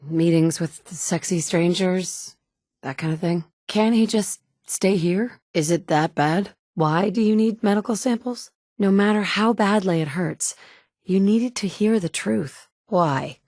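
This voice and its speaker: A dry, blunt game heroine. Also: female voice, on the low side, measured pace, darkish tone, no echo. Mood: terse. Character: tough wry woman survivor, young adult. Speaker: player character. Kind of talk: video game dialogue.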